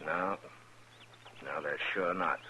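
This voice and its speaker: An American actor playing a stern, watchful U.S. Marshal in a radio western, speaking in a terse, commanding, plainspoken way. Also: male voice, low-pitched, takes it slowly, pushed and bright, heavily acted. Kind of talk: 1950s radio western acting. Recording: noisy, crackly old radio recording.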